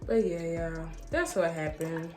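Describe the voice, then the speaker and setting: A young woman chatting casually to the camera as a vlogger, animated, slangy and emphatic, often repeating herself. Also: fairly low pitch, unhurried, soft background music. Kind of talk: casual storytime chat to camera.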